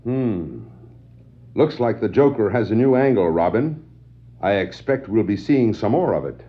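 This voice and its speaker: Calm low voiced superhero boss type, authoritative, dull old vinyl radio drama sound. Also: male voice, a little slow. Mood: thoughtful. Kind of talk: 1960s children's record dramatization. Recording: muffled 1960s vinyl record.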